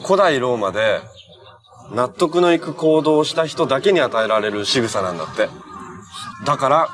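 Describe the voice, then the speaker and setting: A man's voice: medium pitch, natural voice. A man acting as a kind, easygoing young hero in natural television drama dialogue. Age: young adult. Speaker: actor in a television superhero drama. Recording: noisy TV clip audio with ambience.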